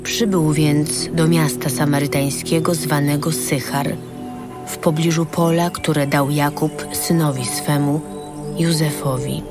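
A woman's voice: sits low, steady tone, a little slow, music in the background. A woman narrating a gospel passage in a scripted audio production.

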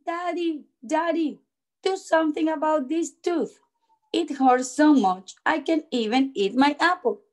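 A woman's voice: high-pitched, a little slow, voice pushed up. A woman voicing a little boy in pain from a storybook, whining and pleading. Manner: yelling, pleading, repetitive. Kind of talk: storybook read-aloud.

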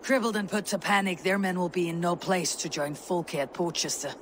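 A woman voicing a fierce, vengeful Viking warrior leader in a video game, with a gravelly voice and solemn, declamatory delivery. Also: fairly quick, a little hiss or hum, lightly acted. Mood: grim resolve. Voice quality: gravelly, low-toned delivery. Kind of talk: dramatic video game acting.

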